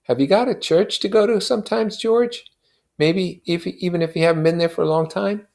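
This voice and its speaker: A man voicing an anxious friend in a novel reading, pleading and gently insistent as he questions and comforts. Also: sits high, normal speed, creaky, voice pushed up. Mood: coaxing, insistent.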